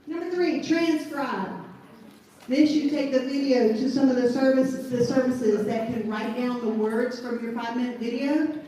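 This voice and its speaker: A woman with a deep Southern accent giving a chatty, animated talk at a live event. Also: normal speed, a little hiss or hum. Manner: chatty and animated, laughs at herself. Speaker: event speaker. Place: large event hall. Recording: echoey hall, distant mic.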